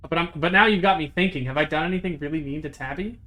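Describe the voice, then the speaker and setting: A young man streaming a visual novel, chatting casually with his viewers. He second-guesses himself out loud, restarts his sentences and swears casually. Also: fairly high pitch, fast talker. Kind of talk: casual live-stream commentary while playing a visual novel.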